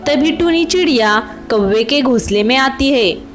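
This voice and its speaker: A woman's voice: high voice, animated, unhurried, music in the background. A woman reading scripted narration for a children's cartoon moral story.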